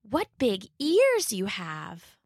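A woman voicing a polite, cheerful little girl in a storybook, speaking in a high, earnest way. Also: huge pitch swings, very slow, dry recording, clean studio sound, heavily acted.

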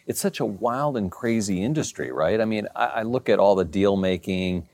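Low-voiced interviewer man, dry sound. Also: animated, normal speed, a little mellow, no room sound. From Maryland. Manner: leading questions, seeks agreement. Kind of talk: conversational interview questions.

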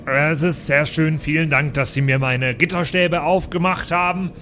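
A man's voice: on the high side, fairly even intonation, moderate pace, sharp tone, slight background noise. A man voicing a grumbling dwarf in an improvised audio game, stiffly polite, dry and aggrieved.

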